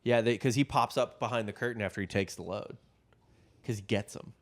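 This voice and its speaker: A man's voice: lowish voice, brisk. A man co-hosting a casual comedic history podcast, loose and profane, laughing easily as he tells the story. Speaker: podcast co-host telling the story.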